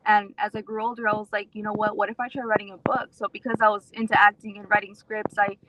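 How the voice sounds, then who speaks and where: A woman's voice: medium pitch, level tone, quick pace, noisy background. A woman from southern Texas, an interview guest explaining her background in a casual, storytelling way. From Rio Grande Valley, Texas, USA. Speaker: interview guest, author and actor.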